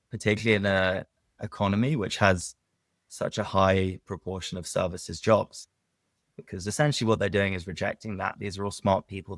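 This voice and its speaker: A man speaking as a venture investor guest in an interview, thoughtful and relaxed with filler words. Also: deep voice, vocal fry, dry recording. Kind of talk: casual interview conversation.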